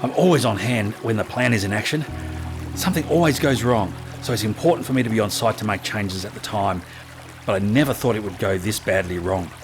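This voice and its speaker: An actor playing an earnest, concerned planner and rescuer in a fantasy audio drama. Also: male voice, fairly low pitch, a little fast, over music, natural voice. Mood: anxious, apologetic. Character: Drivus, concerned, apologetic male schemer and rescuer.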